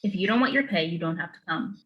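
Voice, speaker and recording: A woman's voice: on the low side, lively intonation, quick pace, lo-fi sound, natural voice. A woman playing a composed, terse female warrior in a dramatic reading, matter-of-fact and curt. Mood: curt, steady.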